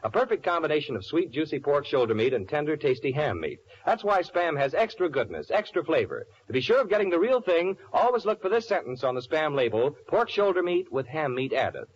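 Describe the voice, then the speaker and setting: A man's voice: fairly high pitch, sing-song, a little fast, hardly any pauses. A man reading a sponsor advertisement as a 1940s radio announcer. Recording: muffled, slightly hissy old radio broadcast.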